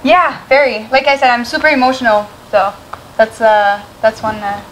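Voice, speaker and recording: A young woman from Canada, a singer being interviewed, talking softly and hesitantly with many fillers. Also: fairly high pitch, steady tone, moderate pace, slight background noise, poor audio. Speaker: singer guest. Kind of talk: casual interview chat.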